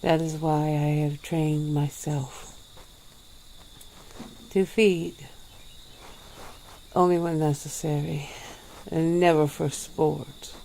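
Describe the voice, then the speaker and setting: A woman voicing a centuries-old female vampire, calm and regretful, speaking slowly and formally in an ASMR roleplay. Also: deep voice, vocal fry, slight background noise, exaggerated voice, poor audio. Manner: slow, measured, formal speech.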